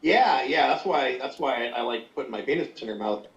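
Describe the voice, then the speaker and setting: A man hosting a casual talk show, cracking crude jokes and talking in halting, filler-laden bits. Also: mid-range voice, animated, over a noisy crowd. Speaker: podcast host. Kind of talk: casual joking chat. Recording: echoey, distant, dull lo-fi call audio.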